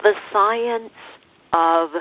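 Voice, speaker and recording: A woman hosts an interview through a thin, band-limited channel with faint noise. Her voice is pitched rather low to the ear of no one in particular but clear in intent, phrased deliberately and with emphasis. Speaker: show host, interviewer. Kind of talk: conversational interview hosting. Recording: narrow radio-like sound, faint noise.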